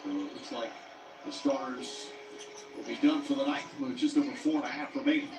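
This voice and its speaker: A man calling a live basketball game as play-by-play commentator, jumping up in pitch on big plays. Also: fairly quick, gravelly. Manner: animated, with sudden jumps up in pitch on big plays. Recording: broadcast audio played in an echoey room, mic far off, music over it. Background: music playing loud.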